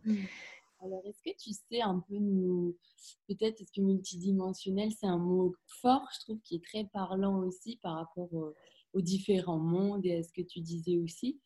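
Woman podcast host, husky, mellow, curious interviewer. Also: mid-range voice, fairly even intonation, moderate pace. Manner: curious, backchannels often with mhm.

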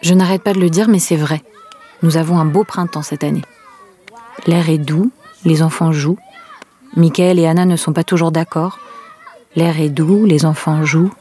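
An actress playing an anxious, gentle mother in an audio drama, soft and reflective, pleading as she speaks. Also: low-pitched, fairly even intonation, normal speed, long pauses, close to an everyday voice. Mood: uneasy, pensive. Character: anxious, gentle mother coaxing children to take tablets. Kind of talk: French audio fiction, interior monologue and dialogue.

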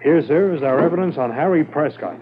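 An actor playing a keen, respectful police corporal in a 1950s radio drama, matter-of-fact and deferential. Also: male voice, on the high side, expressive, fairly quick, natural voice. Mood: eager, assured. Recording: narrow, noisy 1950s radio transcription.

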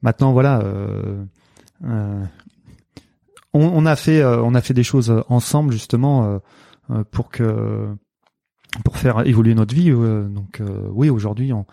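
A man with a Marseille accent speaks with a gravelly, mellow voice, dry and close. He is a sports coach in a relaxed interview, hesitating and restarting often, dropping in jokes. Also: mid-range voice, unhurried, no echo. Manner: hesitant, many fillers and restarts, jokey asides. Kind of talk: casual interview conversation.

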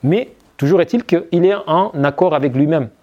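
A middle-aged man and former soldier answering interview questions about his book in an earnest, measured way, with frequent hesitations. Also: on the high side, expressive, mellow, muffled recording.

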